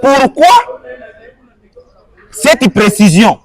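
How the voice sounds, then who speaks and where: Animated man with a high lively voice. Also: drawn-out words. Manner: argumentative, asks rhetorical questions, mixes French and Lingala. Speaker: guest. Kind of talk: animated interview answers.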